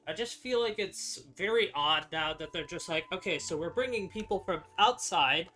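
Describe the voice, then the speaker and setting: A young man giving casual gameplay commentary, muttering to himself in halting, half-finished thoughts. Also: high-pitched, animated, pushed and bright. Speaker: gamer playing on a stream or recording. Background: game music.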